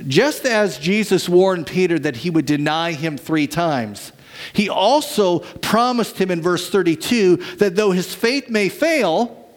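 High-voiced pastor preaching a sermon. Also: male voice, measured pace. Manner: dramatic storytelling, swings from lively to hushed. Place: church.

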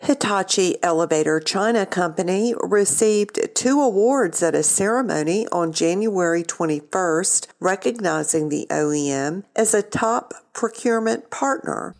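A woman's voice: on the low side, expressive, measured pace, hardly any pauses. A woman presenting scripted industry news on a podcast, reading as a narrator.

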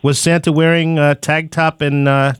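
Smooth-voiced man hosting a radio show. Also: fairly high pitch, level tone, no room sound, clean studio sound. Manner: hesitant, fills pauses with "uh". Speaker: radio host. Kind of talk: radio interview.

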